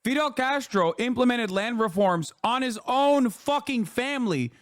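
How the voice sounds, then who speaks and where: A man streaming and reacting to a podcast clip, profane and mocking, getting heated and loud. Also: extremely high pitch, animated, normal speed, clear, resonant tone, dry recording, clean studio sound. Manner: profane, mocking, shouting in outrage. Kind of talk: heated live-stream commentary.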